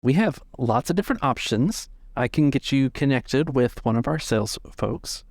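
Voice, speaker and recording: A man's voice: mid-range voice, expressive, normal speed, no room sound, clean studio sound, voice pushed up, close to an everyday voice. A man voicing a perky woman receptionist with chirpy customer-service patter in a tabletop game. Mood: chirpy, helpful. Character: perky woman receptionist at a translation sales office.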